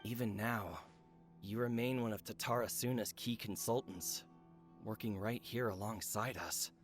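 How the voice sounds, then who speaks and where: A man voicing a grave, principled forge overseer in a video game, with a measured, formal and earnest delivery. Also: on the low side, steady tone, faint music behind, close to an everyday voice. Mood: measured, appreciative.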